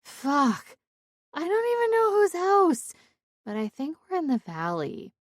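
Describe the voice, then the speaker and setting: A woman's voice: very high voice, wildly swooping pitch, unhurried, dry recording, pitched above the performer's own voice, exaggerated voice. A woman performs a tipsy young ex-cheerleader in an audiobook. She is brash, sweary and dramatic, rambling into a phone in a giggly way. The sound is close and dry. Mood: excited slurred.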